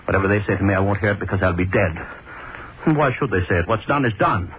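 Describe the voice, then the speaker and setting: Man acting a deep, gloating sadistic old-time hangman. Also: animated, fast talker, natural voice. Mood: agitated, desperate. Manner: brooding, obsessive, repeats words. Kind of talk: 1930s radio horror monologue. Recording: muffled, noisy 1930s radio recording.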